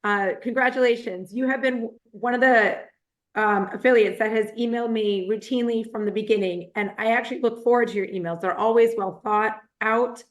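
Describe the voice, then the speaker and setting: A woman who has a cold and is losing her voice, congratulating a team member warmly. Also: fairly high pitch, fairly even intonation, fairly quick. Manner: warm, congratulatory.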